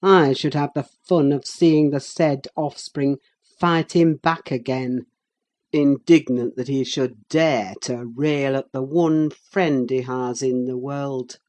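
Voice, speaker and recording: A man's voice: mid-range voice, fairly even intonation, takes it slowly, a little mellow, close to an everyday voice. A man voicing a bitter, scornful middle-aged man in an audiobook, speaking with harsh sarcasm. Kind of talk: audiobook character reading.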